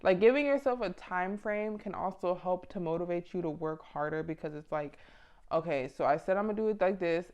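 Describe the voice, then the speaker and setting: A woman's voice: lowish voice, brisk, darkish tone. A woman in her mid twenties chatting casually and motivationally to camera, with a blunt, informal, slangy manner. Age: mid 20s. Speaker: vlogger giving self-improvement advice.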